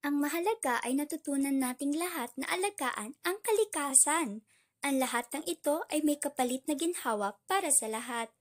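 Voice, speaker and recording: Woman as eager village girl, radio drama. High voice, inquisitive, earnest. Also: lively intonation, normal speed. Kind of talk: amateur radio drama acting.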